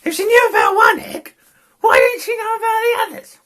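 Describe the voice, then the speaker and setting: A man reading a children's story, voicing a small, excitable, nosy character who blurts out questions. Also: extremely high pitch, brisk, voice pushed up. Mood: baffled, challenging.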